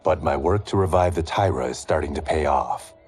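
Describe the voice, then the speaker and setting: Blind swordsman, super deep, dry and understated. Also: brisk, a little mellow, soft background music. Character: calm blind male swordsman.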